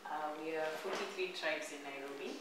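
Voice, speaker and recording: Woman, 20s, Kikuyu, low voice, measured and polite answers; recorded in a very echoey room with noise. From Kenya. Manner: measured, polite, frequent affirming yeses. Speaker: interview guest. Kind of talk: casual interview answers.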